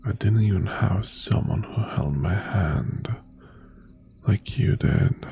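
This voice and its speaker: A man playing an obsessive, possessive mafia boss ex-boyfriend in an intimate ASMR roleplay, tender yet menacing, with a hushed, husky, breathy voice that often drops to a whisper. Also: takes it slowly, a little hiss or hum, heavily acted. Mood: longing, pleading. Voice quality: breathy, husky, gravelly low voice.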